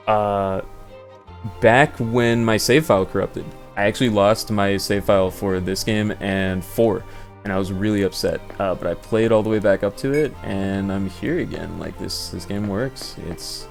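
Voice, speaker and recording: A man's voice: lowish voice, vocal fry. A man giving an unscripted, casual gaming showcase, rambling and thinking aloud as he goes. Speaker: video creator demonstrating handheld gaming.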